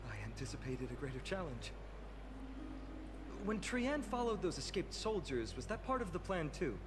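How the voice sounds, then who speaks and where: Calm young game hero, high pitch, lively intonation, understated, noisy ambience. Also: male voice, moderate pace. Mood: calm, questioning. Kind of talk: fantasy game dialogue.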